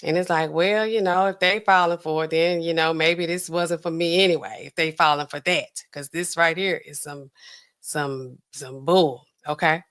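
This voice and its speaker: A woman, hosting a casual livestream and chatting through viewer comments in a sassy, animated way. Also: sits low, normal speed. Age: 40s. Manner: chatty, sassy, animated swings between loud and hushed. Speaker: livestream host.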